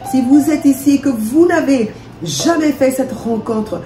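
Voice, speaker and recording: A woman's voice: high voice, measured pace. A woman from DR Congo, a minister, leading prayer with fervent, repetitive declarations. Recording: echoey room with soft music.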